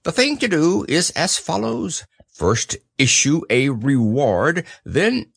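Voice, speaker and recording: A man's voice: fairly high pitch, big pitch swings, slow pace, creaky, a bit bright, breathy edge, no room sound, clean studio sound, pitched above the performer's own voice, exaggerated voice. A man voicing a pompous, wordy, self-important owl in a storybook reading. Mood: pompous explaining. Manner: pompous, long-winded. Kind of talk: storybook character voice.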